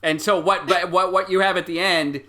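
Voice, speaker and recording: A man's voice: high-pitched, steady tone, moderate pace, bright, forceful tone. A man working as game master, improvising narration for a tabletop game and slipping into the characters' lines. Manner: acts out characters' lines in quotes while narrating.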